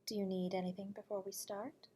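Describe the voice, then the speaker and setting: A woman playing an eerie, nocturnal horror radio host from beyond the grave, speaking to the listener in a soft, breathy, whispery voice, courteous and teasing, with frequent chuckles. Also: mid-range voice, flat delivery, a little fast, lightly acted. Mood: silky, teasing. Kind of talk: audio roleplay addressing the listener.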